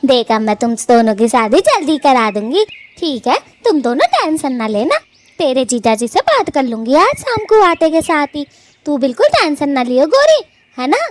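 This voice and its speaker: Woman as a high, animated, doting elder-sister bird. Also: moderate pace, no room sound. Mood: reassuring. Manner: coaxing, folksy, emphatic. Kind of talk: cartoon story voice acting.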